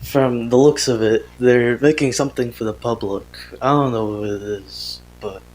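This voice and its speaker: A man playing an earnest young father recording a confessional audio diary in a horror drama, speaking haltingly and hesitantly. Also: mid-range voice, fairly even intonation, a little mellow, slight background noise, natural voice, rough recording. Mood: thoughtful, content. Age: young adult. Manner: halting, hesitant, sighing, self-interrupting.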